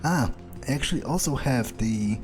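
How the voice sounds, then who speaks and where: A man giving in-character commentary over a video game playthrough, musing in a dry, unhurried way. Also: mid-range voice, expressive, faint music behind. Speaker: gamer playing in character.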